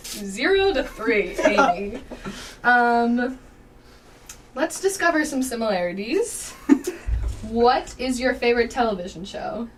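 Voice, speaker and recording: A woman's voice: on the high side, lively intonation, takes it slowly, pauses often, slight background noise, low-quality recording. A young woman co-hosting a college radio show in a studio, chatting casually and laughing often between lines. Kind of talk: casual chat. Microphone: studio mic.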